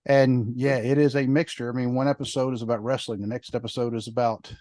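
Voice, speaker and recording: A man's voice: medium pitch, little pitch movement, quick pace, dark tone, dry recording. A man co-hosting a podcast, chatting in a rambling way with lots of fillers. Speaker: podcast co-host. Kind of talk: casual podcast chat.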